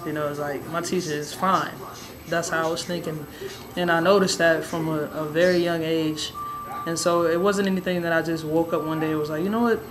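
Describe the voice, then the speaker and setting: A young woman with a raspy, hoarse voice telling a personal story in a relaxed, rambling way with many fillers. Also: low voice, fairly quick. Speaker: vlogger. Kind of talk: casual personal storytelling to camera.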